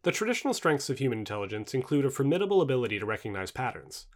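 A man reading non-fiction in a scripted audiobook style, with formal, clearly enunciated phrasing. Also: medium pitch, big pitch swings, rapid-fire. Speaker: reader of a non-fiction book.

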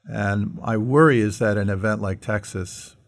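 Middle aged american guy, lowish gravelly voice, podcast host talking things through earnestly. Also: normal speed. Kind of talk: conversational discussion.

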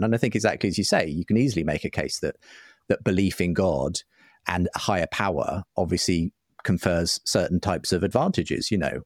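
A man's voice: low voice, dry recording, clean studio sound. A man, talking thoughtfully and agreeably, a little hesitantly, as a guest in an interview. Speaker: interview guest, writer and broadcaster. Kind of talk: conversational interview.

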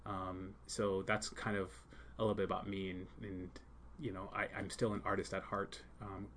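A Jamaican-born man talking in a casual podcast interview about his path into teaching, in a soft, thoughtful way with many ums and pauses. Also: deep voice, level tone, slight background noise. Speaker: school leader and art teacher.

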